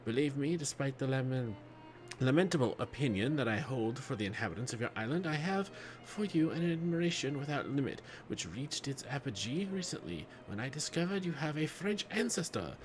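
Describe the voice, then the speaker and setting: A man's voice: medium pitch, big pitch swings, a little fast, slight background noise. A man streaming a game live, playfully reading on-screen text aloud.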